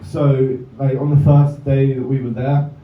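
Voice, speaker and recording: A man's voice: mid-range voice, level tone, measured pace, a little hiss or hum. Give me a young Englishman doing stand-up, wry and self-deprecating, heard from afar on a slightly muffled club recording. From England. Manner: dry, self-mocking delivery with asides. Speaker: stand-up comedian. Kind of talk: stand-up set. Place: comedy club stage. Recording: roomy, distant, slightly dull club recording. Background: audience laughter.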